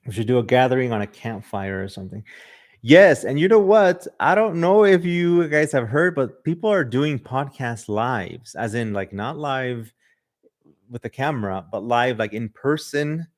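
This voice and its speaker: A man's voice: mid-range voice, expressive, normal speed. Chatty man with a Mexican-tinged accent. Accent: Mexican Spanish influence. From Southern California. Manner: loose and chatty, many "like" and "um" fillers. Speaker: podcast host. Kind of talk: casual livestream chat reading viewer comments. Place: livestream in front of a camera.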